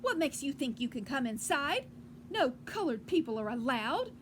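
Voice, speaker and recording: A woman voicing a hostile, haughty male hotel manager in a storybook, loud and scornful. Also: high-pitched, very animated, moderate pace, noisy background.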